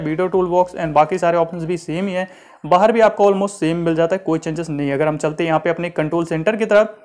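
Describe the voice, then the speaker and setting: A man's voice: high voice, darkish tone. A man giving a casual walkthrough voice-over as a tech reviewer, mixing in English terms and repeating filler phrases. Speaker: tech reviewer, channel host.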